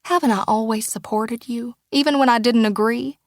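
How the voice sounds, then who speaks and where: A woman voicing a tender small-town mother who speaks in a soft, often breathy near-whisper, pleading and heartfelt. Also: a little high, steady tone, normal speed, no room sound, clean studio sound, close to an everyday voice. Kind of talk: audiobook character dialogue.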